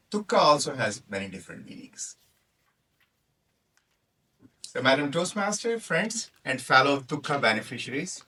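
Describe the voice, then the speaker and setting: A man's voice: a little high, sharp tone, breathy edge, recorded from a distance, low-quality recording. A man in his forties with a Pakistani accent, a native Punjabi speaker, giving a humorous prepared club speech and acting out the people in his story. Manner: storytelling, acts out quoted characters.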